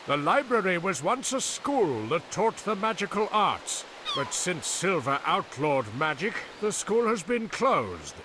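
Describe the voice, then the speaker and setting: A man playing a cautious, wise old grandfather in a video game, speaking in a measured and expressive way. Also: high-pitched. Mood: explanatory. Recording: insects and ambient noise behind.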